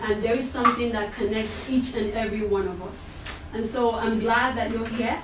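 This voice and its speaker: A woman from Nigeria giving an informal introduction to a group as the event's host. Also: fairly high pitch. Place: echoey room at a group event. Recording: echoey, distant mic, faint noise.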